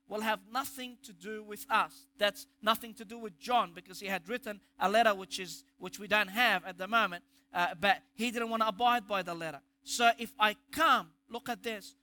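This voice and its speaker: A man's voice: very high-pitched, bright, forceful tone. A man with an Egyptian accent preaching a sermon, emphatic, asking rhetorical questions and restarting phrases. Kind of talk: sermon on scripture.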